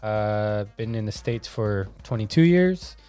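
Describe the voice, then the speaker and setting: A man's voice: lowish voice, animated, measured pace, dark tone, clear, resonant tone, soft background music. A man in his thirties from Argentina chatting casually as a podcast guest, slangy and joking. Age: 30s.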